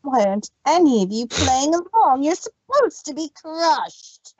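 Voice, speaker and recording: A woman's voice: high voice, big pitch swings, a little slow, a little mellow, dry recording. A woman voicing a scheming female pony villain in a fan reading, taunting and petulant. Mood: indignant. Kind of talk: fan reading of a story with voices. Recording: dull lo-fi stream audio.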